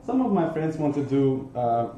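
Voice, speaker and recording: Young belgian guy giving a conference talk, mellow voice, echoey hall, lots of ums. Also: fairly high pitch, sing-song, a little slow, lo-fi sound. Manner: many ums and restarts, earnest. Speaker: conference speaker. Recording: echoey hall with faint noise.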